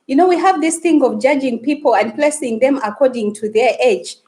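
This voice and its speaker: Woman, Luganda speaker, live-stream host, chatty and a touch high-pitched. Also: a little fast, a little mellow. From Uganda. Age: adult woman, married. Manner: chatty, greets and reads viewer comments aloud. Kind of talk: casual live-stream chat.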